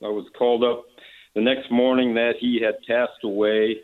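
A man's voice: mid-range voice, level tone, moderate pace. A man calling in to a radio show, telling a personal experience in an earnest, hesitant way. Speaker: caller. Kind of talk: phone-in caller telling a story. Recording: tinny phone line.

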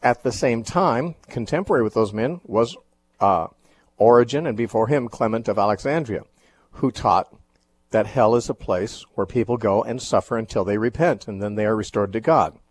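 Husky-voiced man hosting a call-in Bible show. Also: lowish voice. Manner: thoughtful, measured, with frequent fillers and restarts. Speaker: radio call-in show host.

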